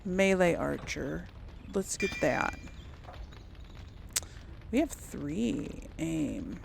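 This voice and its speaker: A woman's voice: low voice, animated, very slow, creaky, a little hiss or hum. A woman hosting a casual game playthrough, thinking aloud in a hesitant, musing way. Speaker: gaming video host.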